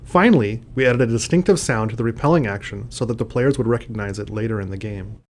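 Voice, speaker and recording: A man's voice: fairly low pitch, quick pace. A man giving scripted commentary as a game developer, explaining design choices.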